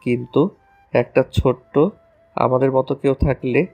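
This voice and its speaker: A man's voice: medium pitch, little pitch movement, unhurried, creaky, a little mellow, soft background music. A man playing a playful, romantic young husband in an audio love story, teasing and tender.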